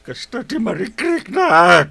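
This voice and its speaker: Querulous old father, swooping high voice. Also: very animated, unhurried, gravelly, pushed and bright, full character voice. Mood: sulky. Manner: querulous, complaining. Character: grumpy sick old father in hospital, demanding, short-tempered. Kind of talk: radio drama acting. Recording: radio drama with music underneath.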